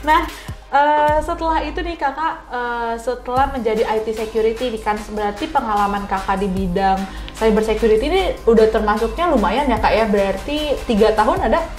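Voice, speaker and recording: A woman's voice: a little high, brisk. A woman with a Jakarta Indonesian accent hosting a casual interview in a chatty, informal way, often seeking agreement. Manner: chatty, informal, frequently echoes answers back and seeks agreement. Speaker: podcast interviewer. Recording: roomy echo, music underneath. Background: music.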